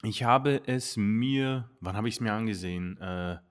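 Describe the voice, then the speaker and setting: Guy cohosting a podcast, lowish voice, lots of ums, thinks out loud. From Austria. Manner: halting, many ums and uhs, thinks aloud. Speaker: podcast co-host. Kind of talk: casual podcast chat.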